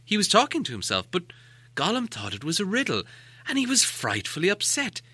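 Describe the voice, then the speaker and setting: A man's voice: high voice, wildly swooping pitch, a little fast, very noisy. A man reading a story as an audiobook narrator, in a dramatic storytelling style.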